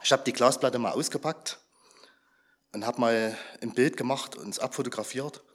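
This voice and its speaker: A slightly hoarse man who is under the weather gives a chatty, informal talk to a gathered congregation and keeps asking them questions. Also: mid-range voice, normal speed. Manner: chatty, asks the audience questions.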